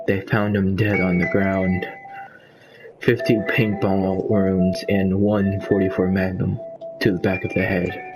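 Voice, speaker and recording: Slow, low-pitched man improvising a story. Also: rough recording. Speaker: amateur storyteller among friends. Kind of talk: improvised mock-dramatic storytelling. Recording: music playing behind the voice. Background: background music.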